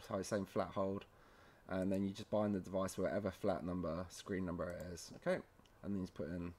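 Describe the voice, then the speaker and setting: Guy with lowish voice doing a software how-to, casual, kinda stop-start, step by step. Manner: informal, halting, often checking in with "okay". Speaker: tutorial presenter. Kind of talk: casual screen-recorded software walkthrough.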